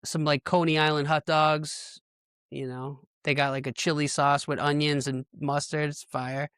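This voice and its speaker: A middle-aged American man hosts a podcast in a loose, slangy, conversational style. His voice is smooth and clean, pitched a bit high for a man. The sound is dry, without room echo. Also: level tone, normal speed, fairly bright tone. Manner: informal, slangy. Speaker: podcast host. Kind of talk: casual podcast chat.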